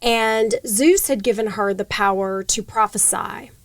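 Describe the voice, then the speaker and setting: A woman who produces the podcast, chatting on a panel and retelling a myth in a lively way. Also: medium pitch, unhurried, no echo, recorded from a distance. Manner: storytelling, animated retelling. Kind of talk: casual panel conversation.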